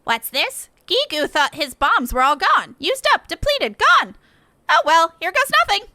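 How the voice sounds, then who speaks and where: A small, excitable game creature. The voice is squeaky and pitched far up, with a bright, forceful edge. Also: female voice, unhurried, heavily acted. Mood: frantic. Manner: squeaky, excitable, third-person speech.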